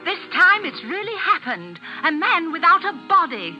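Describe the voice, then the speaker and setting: A woman playing an astonished, excitable newsroom reporter in a 1940s radio drama, dramatic and incredulous. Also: extremely high pitch, moderate pace, full character voice. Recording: dull old radio recording, loud music behind.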